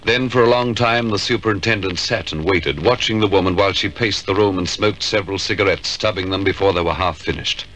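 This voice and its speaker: Old-time radio crime narrator guy, lowish crisp forceful voice, very formal, bit hissy. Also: poor audio. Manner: formal, deliberate. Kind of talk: scripted 1950s radio crime drama narration. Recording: hissy 1950s radio recording.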